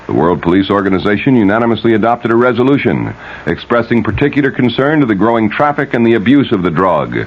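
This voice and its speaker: An American man anchoring a 1970s radio news bulletin, reading scripted stories. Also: mid-range voice. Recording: dull, lightly noisy vintage radio broadcast.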